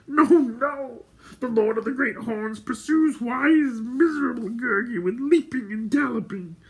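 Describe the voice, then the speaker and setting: A man's voice: extremely high pitch, a little slow, creaky, pitched above the performer's own voice, exaggerated voice, poor audio. A man voicing a cringing, hungry wild creature in a storybook, fawning and self-pitying, with whining, howling, childlike speech. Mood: fearful pleading.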